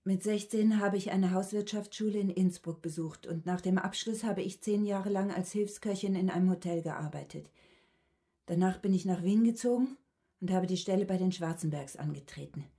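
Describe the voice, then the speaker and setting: An actress playing a subdued, withdrawn cook in her thirties, answering quietly and matter-of-factly in a radio drama. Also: mid-range voice, lightly acted. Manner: quiet, halting, reticent, matter-of-fact. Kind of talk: German radio drama acting.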